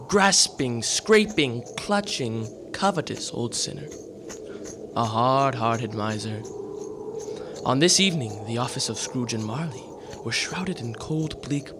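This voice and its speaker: A man narrating a radio drama in an emphatic, deliberate storytelling style. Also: mid-range voice. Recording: clearly noisy background ambience.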